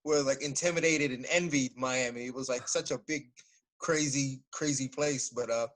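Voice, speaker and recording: A man in his forties, a former fighter, telling stories in a relaxed, casual interview and laughing often. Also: medium pitch, normal speed. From United States.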